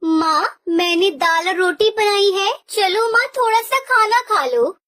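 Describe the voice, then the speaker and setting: A devoted teenage girl sparrow, voiced by a woman in a cartoon story, with a very high voice. She pleads in a tearful way. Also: animated, measured pace, bright, forceful tone, exaggerated voice. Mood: pleading. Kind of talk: Hindi cartoon voice acting.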